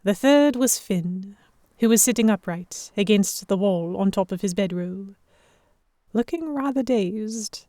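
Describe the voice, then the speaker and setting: Female narrator for a historical audio drama, medium pitch, scripted first-person delivery, dry sound. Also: measured pace, mellow, dry recording. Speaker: narrator and lead actor.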